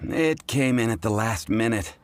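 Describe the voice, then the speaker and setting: A courteous tavern bartender. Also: male voice, on the low side. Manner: courteous, formal. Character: polite tavern bartender man.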